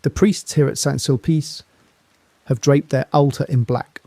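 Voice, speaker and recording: An actor playing a somber male foreign correspondent reporting from abroad, in a hushed, somber manner. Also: medium pitch, fairly even intonation, unhurried, vocal fry, no echo. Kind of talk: scripted dramatic news report.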